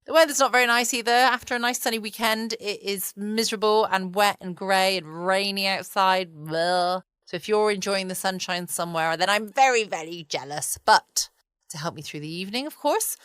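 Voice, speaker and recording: A woman hosting a podcast in relaxed, rambling bedtime chat. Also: mid-range voice, moderate pace, clean tone, no echo. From England. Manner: relaxed, chatty. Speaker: podcast host. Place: at home indoors, quiet.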